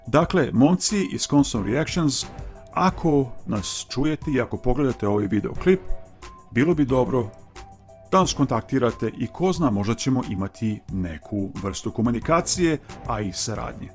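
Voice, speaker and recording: A man narrating his channel's video in an earnest, measured, formal voice-over. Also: medium pitch, expressive. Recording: faint music underneath.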